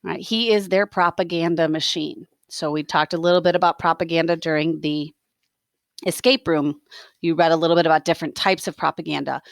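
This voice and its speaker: A woman reading a story aloud as a teacher, expressive, with explanatory asides. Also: low-pitched, brisk, gravelly, no room sound, studio quality.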